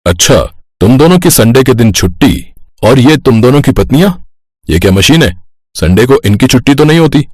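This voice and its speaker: A man's voice: mid-range voice, animated, moderate pace, a little mellow, breathy edge, no room sound, studio quality. A man playing a stern, fair-minded boss in a family cartoon, authoritative and scolding. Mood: angry, scolding.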